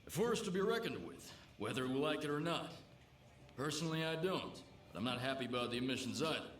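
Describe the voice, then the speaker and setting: A male actor as a gruff, pragmatic barkeep from a survival video game. His delivery is lively and varied at a medium pitch, slangy and worldly. The room rings around him, with noisy ambience behind. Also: fairly quick, roomy sound, lightly acted. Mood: cautious, matter-of-fact. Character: world-weary, pragmatic male bartender in a lawless zone. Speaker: bartender character.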